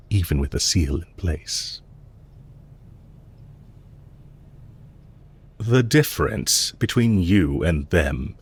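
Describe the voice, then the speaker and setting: Male actor as calm villain leader, very low husky voice, slow measured delivery, formal, condescending, quietly menacing, audio roleplay. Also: full character voice. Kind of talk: audio roleplay, intimate scripted acting addressed to listener.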